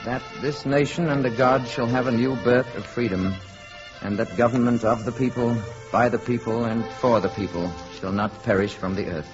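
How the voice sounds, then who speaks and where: An actor playing a calm, learned stranger from space posing as an ordinary man, speaking politely and with quiet assurance in 1950s radio drama style. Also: mid-range voice, normal speed, music in the background, close to an everyday voice. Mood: calm, curious. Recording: dull, noisy 1950s radio recording.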